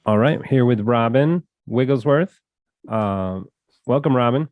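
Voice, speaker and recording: Guy hosting a podcast, medium pitch, casual talk, bit of music around. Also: animated, a little slow, phone-quality sound. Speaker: podcast host. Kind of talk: casual podcast interview and intro.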